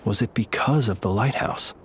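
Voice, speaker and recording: A man voicing a calm, courtly lightkeeper in a video game, speaking in formal, measured, old-fashioned phrases. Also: on the low side, a little hiss or hum, close to an everyday voice. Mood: grave.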